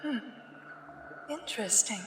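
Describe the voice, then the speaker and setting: A woman's voice: a little high, animated. Woman acting as an echoing, uncanny government examiner. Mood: formal, persuasive. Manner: measured, formal, hushed. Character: soothing, uncanny female government examiner at Area 51. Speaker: ASMR roleplay performer. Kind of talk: soft-spoken ASMR sci-fi roleplay. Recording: echoey long reverb, faint background noise. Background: sci-fi ambience.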